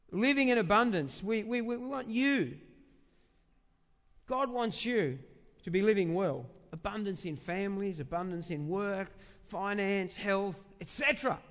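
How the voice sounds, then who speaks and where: A man's voice: high voice, a bit bright. A man with a big voice, preaching a conversational sermon as a pastor, repeating phrases and asking rhetorical questions. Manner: halting repetition of phrases for emphasis, rhetorical questions. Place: large indoor church space. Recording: reverberant hall sound.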